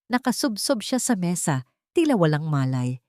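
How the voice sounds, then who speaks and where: A woman reads a dramatic first-person romance story as its narrator. Her voice is fairly low and very mellow, in a very dry recording with no room sound. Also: very animated, normal speed, no room sound.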